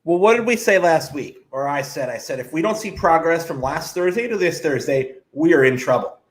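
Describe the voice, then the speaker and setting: A man with a fairly high voice co-hosts a sports talk show. He is casual but blunt and opinionated, breaking his points into short, punchy phrases. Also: lively intonation, brisk.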